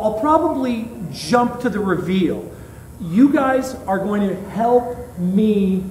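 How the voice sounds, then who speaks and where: Male presenter, informal and halting, high voice, recorded at a distance with faint noise. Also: a little mellow. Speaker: guest speaker, marketing director of botanical gardens. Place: indoor event venue at botanical gardens. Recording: distant mic in echoey room, background noise.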